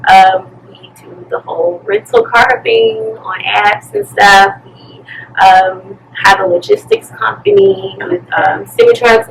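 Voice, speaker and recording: A woman tells her story casually and with animation. Her voice is bright and forceful. She is a little away from the mic, with faint ambient noise behind her. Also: mid-range voice, gravelly. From Birmingham, Alabama, USA. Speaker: interview guest, pole studio owner and event planner. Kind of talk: casual chat. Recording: slightly distant mic, noisy room ambience.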